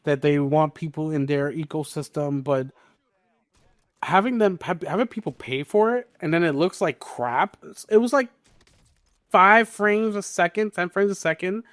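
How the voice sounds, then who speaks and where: A man's voice: on the high side, normal speed, fairly bright tone, no echo, clean studio sound. A man hosting a podcast, casually discussing the news with exasperated, opinionated commentary. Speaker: podcast host.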